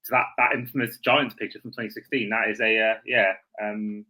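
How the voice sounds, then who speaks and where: A man's voice: fairly low pitch, fairly even intonation, brisk, pushed and bright, poor audio. A man hosting a sports podcast in casual chat, often correcting himself mid-sentence.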